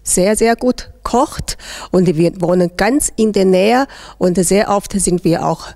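A woman's voice: medium pitch, slow, warm tone. A middle-aged woman from China, a native Chinese speaker with a Chinese accent, answering interview questions hesitantly into a handheld microphone. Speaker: interviewee, teacher. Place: large indoor hall.

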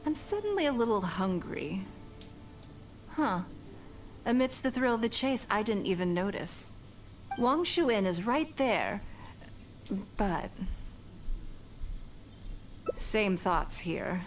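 A woman voicing a poised, shrewd, wealthy businesswoman in a video game, speaking in a measured, genteel, lightly teasing way. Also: medium pitch. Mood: composed, pleased. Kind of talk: video game dialogue acting. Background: background music.

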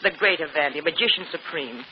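An actress playing a weary, bossy, middle-aged vaudeville wife, scolding plainly in a 1940s radio play. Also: female voice, low-pitched, brisk, natural voice. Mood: bitter, resigned. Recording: muffled, noisy old radio broadcast.

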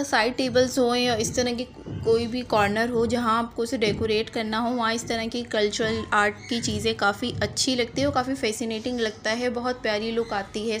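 A young adult Pakistani woman narrating a vlog in voice-over. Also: on the high side. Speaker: vlogger. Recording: clear background noise under narration.

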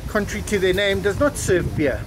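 A man's voice: high-pitched, lively intonation, fairly quick. A man in his fifties giving an enthusiastic walking tour of a shopping terminal, exclaiming and chuckling as he goes. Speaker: travel vlogger. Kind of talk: casual walking tour chat. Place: outdoor shopping terminal, windy. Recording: windy outdoor street noise and crowd.

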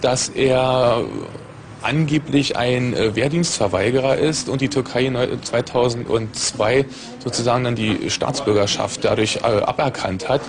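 A man's voice: medium pitch, a little slow, a crowd in the background. A man in his forties, a uniformed police officer, giving an interview statement in a formal, official way in a police office. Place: open-plan police office, indoors.

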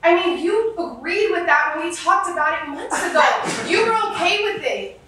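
A woman's voice: extremely high pitch, expressive, normal speed, sharp tone, heavily acted. A woman acting an evasive, easily exasperated cheating wife in a stage play. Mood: indignant. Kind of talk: theatrical stage play dialogue. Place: indoor theatre stage, recorded from afar. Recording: echoey stage, distant microphone, faint noise.

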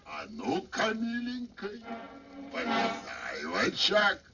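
A man's voice: high-pitched, huge pitch swings, slow pace, creaky, exaggerated voice. A man playing a menacing, greedy puppet theatre director in an old Soviet cartoon, theatrical, threatening and falsely sweet. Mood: angry, commanding. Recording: dull old film soundtrack, music behind.

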